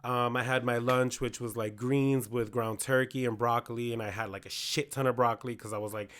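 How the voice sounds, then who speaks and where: A hoarse man co-hosting a podcast, chatting candidly about his week and quoting himself in asides. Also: mid-range voice, fairly even intonation, a little fast, a bit bright. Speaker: podcast co-host. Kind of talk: casual chat.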